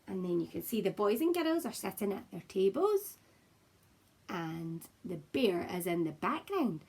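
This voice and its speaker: A woman primary teacher with a slightly husky voice, talking to young children and reading a picture book aloud in an expressive, encouraging way. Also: mid-range voice, a little slow. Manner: expressive, encouraging, simple address to small children. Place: child's room at home, indoors.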